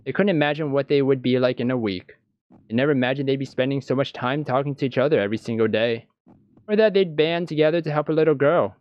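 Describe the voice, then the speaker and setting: A man reading visual novel text aloud as scripted narration, with a deliberate, dramatic storytelling delivery. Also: medium pitch, dry recording, dull audio.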